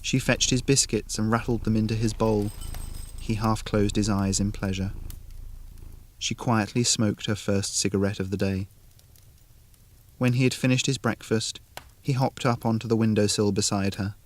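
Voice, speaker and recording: Low-voiced man narrating atmospheric fiction. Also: steady tone, normal speed. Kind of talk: atmospheric scripted short story narration.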